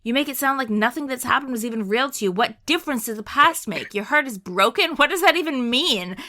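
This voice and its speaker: A woman's voice: sits high, animated, normal speed, sharp tone, voice pushed up. A woman voicing a hurt, fiercely devoted young woman, insistent and imploring, reading game story dialogue aloud. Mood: angry, hurt.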